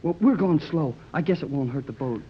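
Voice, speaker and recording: An actor playing an eager, earnest young lad in a 1930s radio serial, boyish and polite, exclaiming and slightly halting. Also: male voice, a little high, animated, breathy edge. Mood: excited, alert. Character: eager, earnest boy adventurer, polite and excitable. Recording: muffled, noisy 1930s radio recording.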